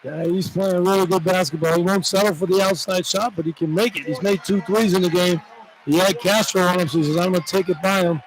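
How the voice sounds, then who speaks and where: A man's voice: sits high, steady tone, brisk, low-quality recording. A man giving informal, opinionated color commentary on a live basketball game in a conversational style. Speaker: radio color analyst. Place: courtside in an indoor arena. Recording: loud arena noise behind. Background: loud game ambience.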